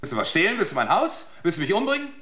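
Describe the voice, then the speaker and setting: In an echoey room, a gruff male householder interrogates in a radio play. His pitch swings widely as he makes brusque, suspicious demands. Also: huge pitch swings, rapid-fire, bright, forceful tone, exaggerated voice. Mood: aggressive, suspicious. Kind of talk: German radio drama dialogue.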